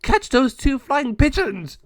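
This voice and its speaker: A man voicing a rowdy male gargoyle henchman with boisterous, gleeful shouting. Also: high voice, huge pitch swings, slow, no echo, clean studio sound, voice pushed up, heavily acted.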